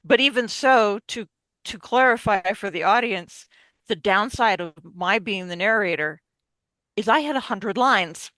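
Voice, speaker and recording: A woman's voice: mid-range voice, unhurried, pushed and bright, dry recording, low-quality recording. A woman guest chatting casually on a podcast, recounting things emphatically and acting out bits of remembered conversation. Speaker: podcast guest, radio play narrator.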